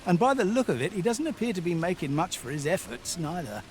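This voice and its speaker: A man's voice: sits high, very animated, quick pace, a little hiss or hum, poor audio. An actor playing a skeptical, nosy townsman with colloquial, folksy speech in a fantasy game. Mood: skeptical, curious. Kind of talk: scripted fantasy game dialogue.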